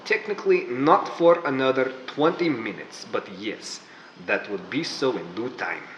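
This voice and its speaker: A man's voice: medium pitch, moderate pace, dull audio, slight background noise, lightly acted. A man playing a scheming mad-scientist villain in a radio drama, gloating and theatrical. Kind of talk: 1940s radio drama acting. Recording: roomy echo.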